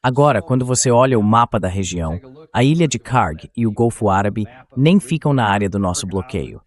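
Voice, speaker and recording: A man's voice: lowish voice, animated, brisk. A man hosting a political talk show, giving commentary as a translated voice-over.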